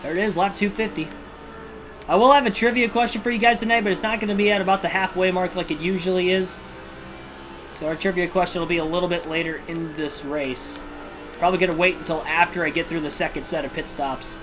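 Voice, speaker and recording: A man hosting a livestream and giving realistic play-by-play commentary on a racing video game, in a steady broadcaster style with casual personal asides. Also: high voice, fast talker, rough recording. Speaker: livestream host and race commentator. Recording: video game music clearly behind voice.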